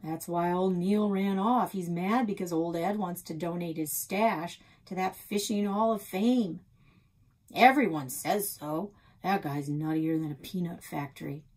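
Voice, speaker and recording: An American woman voicing a gruff, suspicious small-town sheriff in a storybook read-aloud, folksy and pointed. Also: lowish voice, a little slow, darkish tone. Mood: scornful.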